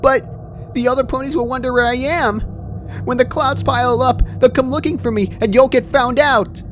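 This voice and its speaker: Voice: high-pitched, steady tone, moderate pace, soft background music, pitched above the performer's own voice, natural voice. A performer voicing a terrified young female pegasus pony in a fan fiction reading, pleading in a breathless, stammering way. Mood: panicked.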